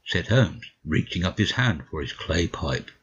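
A man with a husky voice narrating a dramatic audiobook as the detective's companion, on a slightly muffled recording. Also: low-pitched, very animated, a little slow, fairly bright tone, heavily acted. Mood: measured storytelling.

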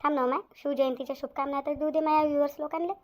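A woman's voice: high voice, flat delivery, rapid-fire, slight background noise, close to an everyday voice. A woman voicing a cheerful, folksy village woman hosting a cartoon channel, chatty, with a Vidarbha Marathi accent. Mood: cheerful greeting.

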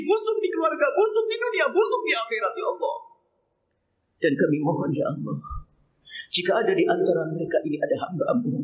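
A middle-aged man leading an impassioned Friday sermon prayer, pleading fervently and swinging from low murmurs to shouted cries, recorded on a headset mic at a mosque pulpit. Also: poor audio. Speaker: Friday preacher.

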